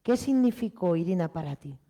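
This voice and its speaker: A woman's voice: lowish voice, expressive, brisk, mellow. A woman interviewing a guest as moderator of a live event.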